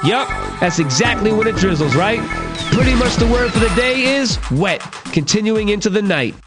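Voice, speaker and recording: Casting: brash game-radio hip-hop DJ, high voice, playful and slangy, over music. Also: male voice, animated, normal speed. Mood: wry, upbeat.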